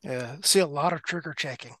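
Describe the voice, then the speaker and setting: Moderator: man, fairly high pitch, light joking, asks questions; dead-dry recording. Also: brisk, pushed and bright, no room sound. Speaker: panel moderator. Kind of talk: panel discussion.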